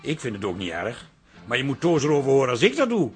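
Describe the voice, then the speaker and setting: A man's voice: mid-range voice, wildly swooping pitch, fast, soft background music. A man acting in a radio comedy drama as a middle-aged, bemused husband, wry, confiding and grumbling. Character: bemused, dry-humoured husband from a farming family.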